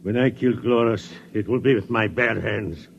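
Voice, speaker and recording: An actor playing a hate-filled, brutish captive with a slow, menacing delivery in a 1950s radio drama. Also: male voice, fairly low pitch, creaky. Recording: muffled, noisy 1950s radio recording.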